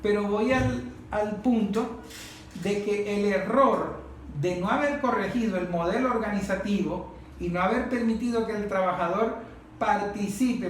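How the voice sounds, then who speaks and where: The speaker has a Venezuelan accent and leads a political discussion with emphatic, didactic delivery, repeating phrases to drive points home. The recording is heavily reverberant, with faint noise underneath. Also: medium pitch. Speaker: discussion leader. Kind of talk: impassioned political talk to a group. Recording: very echoey room, faint noise.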